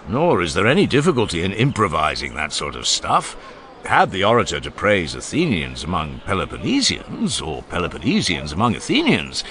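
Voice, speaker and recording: Socrates as an older, ironic philosopher in an audiobook drama, his voice very low yet bright, rising and falling widely as he teases. The delivery is expansive and mocking in a gentle way. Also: slight background noise, heavily acted. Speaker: voice actor.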